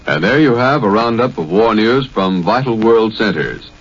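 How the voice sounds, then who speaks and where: A man's voice: lowish voice, animated, normal speed, slightly rough. An American man anchoring a scripted 1940s radio news roundup from a newsroom. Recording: muffled vintage 1940s radio broadcast.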